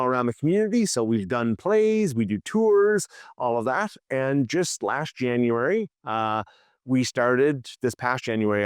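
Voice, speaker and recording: A middle-aged man speaks as a historian guest, easygoing and full of "you know" hedges. His voice is smooth and resonant at a middle pitch, recorded dry and close. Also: no echo. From Canada. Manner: relaxed, hedging with "you know" and "uh". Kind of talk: casual interview.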